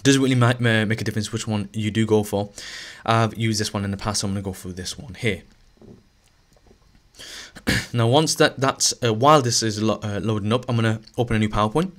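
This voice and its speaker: A male teacher narrating a screen-recorded lesson, a little hoarse as he is losing his voice, talking through each step with fillers and restarts. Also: fairly low pitch, fairly quick. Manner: relaxed, thinking aloud with fillers and restarts.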